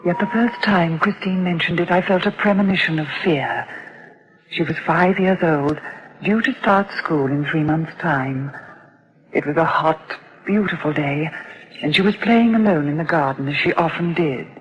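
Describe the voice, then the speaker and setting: Actress as a soft, earnest young mother who narrates and frets, old radio drama, lo-fi. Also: low voice, normal speed, lightly acted. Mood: calm. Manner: soft, earnest, hesitant when worried. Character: anxious young foster mother, gentle and protective. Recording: dull lo-fi old radio recording.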